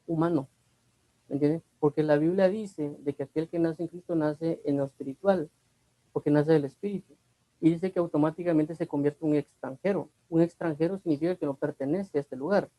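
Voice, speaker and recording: A man's voice: a little high, fairly quick, warm tone, dry recording, poor audio. A man with a Guatemalan Spanish accent gives a conversational Bible teaching. He repeats himself and asks the listener rhetorical questions. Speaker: preacher.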